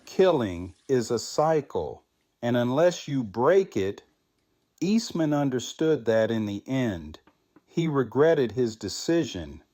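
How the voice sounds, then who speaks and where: Actor as measured, earnest man of firm conviction. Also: mid-range voice, sing-song, warm tone, no room sound, clean studio sound. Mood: earnest, resolute. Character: calm, firm man of steady pacifist convictions. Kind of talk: audio drama dialogue.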